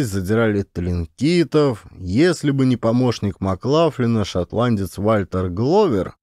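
A man's voice: medium pitch, dark tone, no room sound, clean studio sound. A man reading a historical adventure audiobook as scripted narration.

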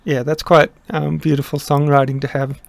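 A middle-aged man explaining his song translation in a casual, halting way, with many pauses and restarts. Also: on the high side, little pitch movement, vocal fry, dry recording. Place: small room indoors.

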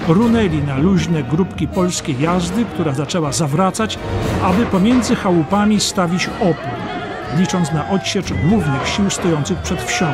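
A man narrating a war history audiobook in a dramatic, scripted storytelling style. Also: high-pitched.

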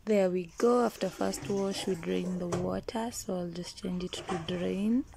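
A woman's voice: fairly low pitch, steady tone, unhurried, vocal fry, background noise. A woman with a Kenyan accent chatting casually and playfully as she narrates a chore, switching languages mid-sentence. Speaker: vlogger. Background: clicking and water sounds.

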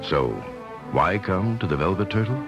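A man playing a grand, mock-solemn narrator in an early 1980s radio commercial, pompous and deadpan. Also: sits low, normal speed, highs cut off, over music.

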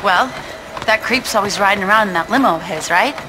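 A woman's voice: medium pitch, a little fast, noisy background, close to an everyday voice. A young woman playing a cheeky, stubborn female gang lieutenant in a video game, with a casual, defiant manner. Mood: teasing. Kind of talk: video game cutscene acting.

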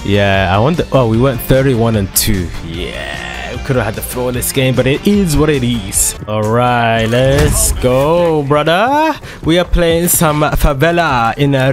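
A man giving excitable, slangy commentary over his own shooter gameplay, his voice a little hoarse from a dry throat. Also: mid-range voice. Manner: excitable, slangy trash-talking banter. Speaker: gaming video creator. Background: loud background music and gunfire.

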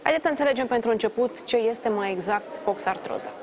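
A young woman presenting a morning news show from a TV studio, reading a clear scripted intro and asking interview questions. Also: fairly high pitch, dull audio, crowd noise. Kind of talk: live TV interview.

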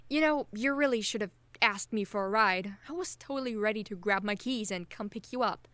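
A woman's voice: medium pitch, fairly quick, fairly bright tone, dry recording, lightly acted. A young woman acting as a friendly, caring best friend with a crush, chatting casually and teasingly in an intimate audio roleplay. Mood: caring, playfully insistent. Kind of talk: intimate ASMR-style audio roleplay, casual one-sided chat.

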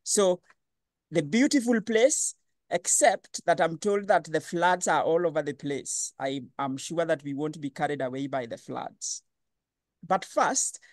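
A man hosting an online panel discussion, lively and questioning. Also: a little high, no room sound. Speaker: webinar host.

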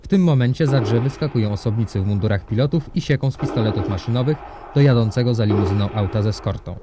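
An actor playing a formal, measured newsreader and political interviewer in a scripted drama. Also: male voice, medium pitch, noisy background, close to an everyday voice. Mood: urgent reporting. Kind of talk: scripted broadcast announcing in a drama.

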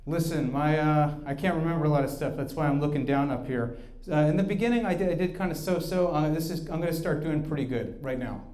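A man performing a deadpan, self-deprecating stand-up set in a small bar. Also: on the high side, fast talker, few pauses, reverberant. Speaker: stand-up comedian. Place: small dark bar room.

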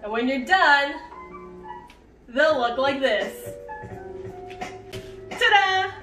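A woman's voice: high voice, lively intonation, very slow. A young adult woman giving a casual, informal cooking demonstration as a home cook in her kitchen. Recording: roomy echo, distant mic, background music. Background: music.

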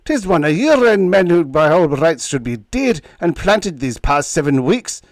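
A man's voice: a little high, sing-song, measured pace, no echo, studio quality, voice pushed up. A man voicing an indignant, grizzled ship engineer with a Scottish accent and a stiff, formal manner.

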